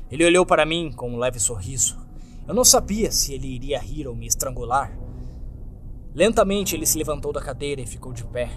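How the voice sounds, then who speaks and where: A man with a Brazilian accent giving a dramatic scripted narration of a horror story. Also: medium pitch. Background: prominent background music.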